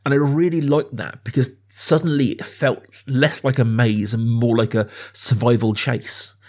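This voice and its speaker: A man with a mid-pitched voice hosts a relaxed film conversation. He explains his thoughts in detail, with interest and enthusiasm. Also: normal speed. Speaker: podcast host. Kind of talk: casual film discussion.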